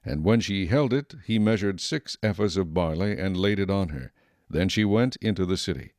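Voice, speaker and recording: Casting: male narrator, measured and steady, low voice, dry recording. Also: dry recording. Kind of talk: scripted scripture narration.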